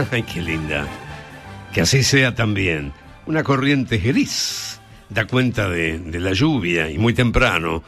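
A man's voice: on the low side, lively intonation, slow pace, soft background music. An Argentine man hosting a live weekend radio show, chatting easily with callers and guests. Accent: Argentine Spanish. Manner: chatty, warm banter with guests. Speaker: radio host.

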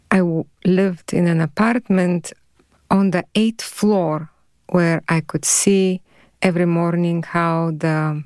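A woman in her fifties from Bulgaria, speaking with a Bulgarian accent, answers interview questions in a measured, thoughtful way. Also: deep voice, a little mellow, dry recording. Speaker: radio guest.

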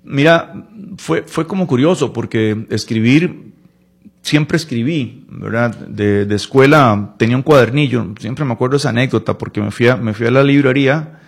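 A Costa Rican man in his late forties speaking as an interview guest, in a halting, reflective, conversational way, often repeating words and adding small tags for agreement. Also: mid-range voice, creaky, breathy edge. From Costa Rica, Palmares in the western Central Valley. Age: late 40s. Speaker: interview guest, writer and designer.

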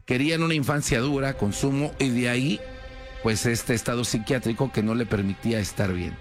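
A man's voice: mid-range voice, a little fast, soft background music. A man telling a true crime story in a casual, conversational way, with many fillers and tag questions.

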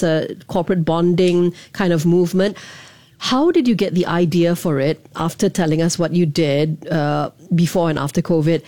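A woman interviewer asks long, chatty questions and affirms her guest often. Her voice is low and mellow. It is recorded dry and close. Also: dry recording.

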